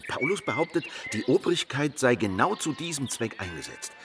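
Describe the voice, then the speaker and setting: Devoted young follower, earnest and zealous, historical radio drama, off-mic, clear music underneath. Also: male voice, mid-range voice, very animated, normal speed. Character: earnest, devoted young male disciple of a preacher. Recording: distant microphone, music underneath.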